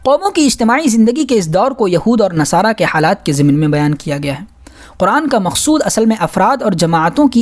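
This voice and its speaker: A woman reading a scholarly religious book aloud as an audiobook narrator, in a formal, measured way. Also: fairly high pitch, darkish tone. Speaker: audiobook reader.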